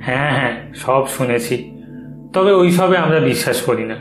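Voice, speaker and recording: A man voicing a young town-bred husband in a horror cartoon, conversational, brisk and insistent. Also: mid-range voice, expressive, faint music behind, natural voice. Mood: dismissive. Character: young husband Arjun, dismissive yet uneasy townsman. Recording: roomy echo.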